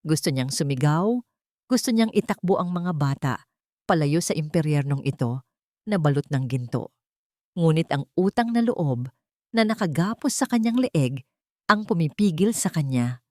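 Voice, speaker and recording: A Filipino woman narrates a scripted drama in audiobook style. Her voice sits a little low for a woman. The recording is very dry, with hardly any room sound. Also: expressive, normal speed, mellow, no room sound.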